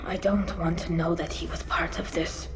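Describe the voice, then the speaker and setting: Casting: actress for young alien female engineer, video game drama. Earnest, defiant, grief-laden, halting delivery, roomy reverberant sound. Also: on the low side, flat delivery, natural voice. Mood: somber resolve. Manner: earnest, halting under emotion. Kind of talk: cinematic video game voice acting. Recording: roomy echo, long reverb.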